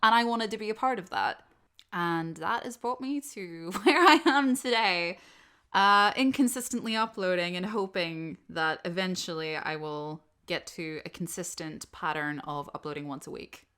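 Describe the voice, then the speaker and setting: A woman with a bright, crisp voice that sits a little low. She talks casually and expressively, like a creator chatting with followers, with a self-deprecating streak. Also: normal speed. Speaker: audio roleplay creator and host. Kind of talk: casual subscriber Q&A, chatty talk.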